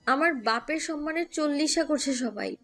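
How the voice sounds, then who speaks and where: A woman's voice: high-pitched, lively intonation, moderate pace, a bit bright. A woman playing an earnest, exasperated young sister who pleads her way through an audio story. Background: music.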